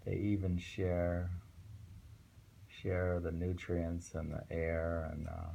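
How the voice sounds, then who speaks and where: A middle-aged man reading poetry and commenting on it casually, musing in an unhurried way and repeating phrases. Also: sits low, monotone, gravelly, mellow, poor audio. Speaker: host reading and discussing poetry. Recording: home recording with faint background noise.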